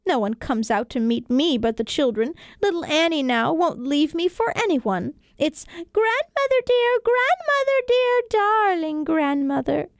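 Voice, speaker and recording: A woman voicing a scolding but soft-hearted old peasant grandmother, grumbling and emphatic, in a storybook reading. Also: very high-pitched, sing-song, unhurried, hardly any pauses, no echo, clean studio sound, voice pushed up, full character voice. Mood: softening, fond.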